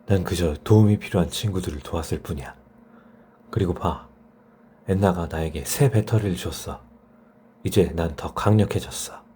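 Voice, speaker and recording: A man voicing a humble, gentle and brave toy robot in a children's bedtime story. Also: low-pitched, moderate pace, long pauses, slight background noise, lightly acted. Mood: modest.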